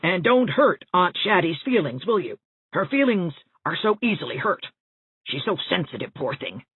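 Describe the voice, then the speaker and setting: A woman's voice: on the low side, big pitch swings, normal speed, breathy edge, phone-quality sound, voice pushed up, full character voice. A woman voicing a chatty, well-meaning older small-town busybody in an audiobook, with a folksy, rambling, confiding manner. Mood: confiding, fussy. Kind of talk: audiobook character dialogue. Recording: thin band-limited audio, roomy echo.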